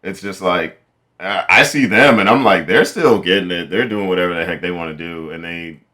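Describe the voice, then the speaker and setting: Guy in his 30s hosting a podcast, kinda low voice, bright sound, joking around a lot. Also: moderate pace. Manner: joking, laughs often, acts out quoted voices. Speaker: podcast host. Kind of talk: casual chat.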